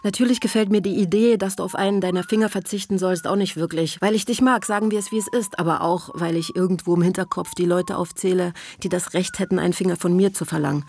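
An actress playing a candid, weary, moody woman over fifty, a recovering addict, reading her letters in a radio drama with a husky voice. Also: fairly low pitch, fairly even intonation, quick pace, a little mellow, a little hiss or hum, close to an everyday voice. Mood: wry candour. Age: 50s.

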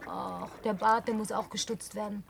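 A woman's voice: medium pitch, fairly even intonation, moderate pace, gravelly, a little hiss or hum. An actress dubbing a lonely young woman who fussily orders her male guest around. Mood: teasing, commanding. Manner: prim, commanding, teasing. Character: lonely young woman, bossy fussy hostess. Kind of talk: German film dub.

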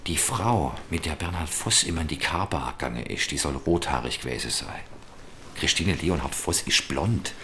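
Male detective on the run, radio drama, low voice w big swings, short probing questions. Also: lively intonation, normal speed, bright, forceful tone, slight background noise, heavily acted. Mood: calm, resolute. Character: terse, determined male private detective on the run. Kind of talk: radio play acting. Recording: music playing behind the voice.

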